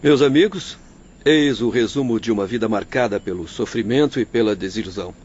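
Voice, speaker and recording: An actor playing a compassionate doctor who explains solemnly and oratorically in an audio drama. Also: male voice, fairly low pitch, a little fast, darkish tone, natural voice. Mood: solemn explaining. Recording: dull lo-fi audio, faint noise.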